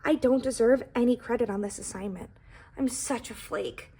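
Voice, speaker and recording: A woman's voice: fairly high pitch, moderate pace, mellow, a little hiss or hum, close to an everyday voice. A woman voicing a young male cake in a children's storybook, a loyal, easygoing best friend who speaks sincerely and sheepishly. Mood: sorry.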